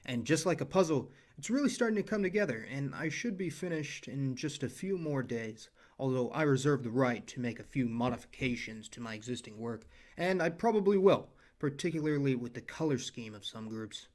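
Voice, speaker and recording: A man's voice: medium pitch, lively intonation, fairly quick, hardly any pauses, a little mellow. A young adult man narrating his own educational video, with earnest explanations and personal asides. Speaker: channel creator and narrator. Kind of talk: scripted educational voice-over.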